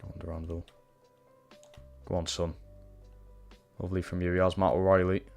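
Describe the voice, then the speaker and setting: A man's voice: low voice, little pitch movement, a little fast, vocal fry, a little mellow, faint music behind. A young man with a Geordie accent commentating live on his football game, swearing and reacting to every pass. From Newcastle, England. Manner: sweary, frustrated reactions to play. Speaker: streamer playing a football career mode.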